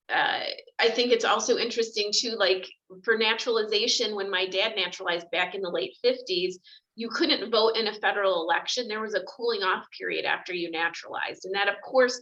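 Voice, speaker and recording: A woman's voice: medium pitch, bright, forceful tone, lo-fi sound. A woman immigration attorney chatting on a video call, talking easily with frequent fillers. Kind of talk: video call panel discussion.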